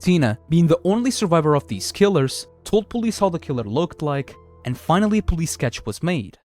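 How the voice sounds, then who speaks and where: Lively higher-pitched male narrator. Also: a little fast, soft background music. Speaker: video essay host. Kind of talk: scripted narration, horror series review.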